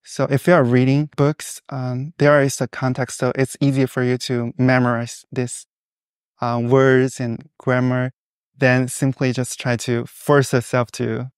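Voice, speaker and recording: A young man speaks in a smooth, clear voice that stays flat and even in pitch. He answers interview questions thoughtfully, with many small pauses and fillers. The sound is dry and close. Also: no echo. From China. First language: Mandarin Chinese. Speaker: guest.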